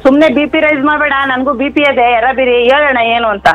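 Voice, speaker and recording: A woman's voice: high-pitched, steady tone, fast talker. A woman with a southern Karnataka accent talking casually on a phone call, blunt, combative and impatient. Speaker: prank call target. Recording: phone call with music behind.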